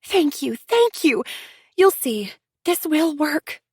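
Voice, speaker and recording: A woman's voice: high voice, sing-song, slow, no room sound, voice pushed up, exaggerated voice. An upbeat, insistent young woman, voiced by an audiobook narrator pleads for peace in a raised, eager voice. Her delivery is pushy yet cheerful. The recording is close and dry. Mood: excited. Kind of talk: audiobook character voice.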